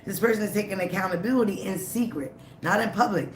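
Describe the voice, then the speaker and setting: Slightly raspy tarot reader in echoey room. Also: mid-range voice, fast, a little hiss or hum. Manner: emphatic, repeats phrases, frequent "okay?" and "all right?". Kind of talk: live tarot reading to viewers.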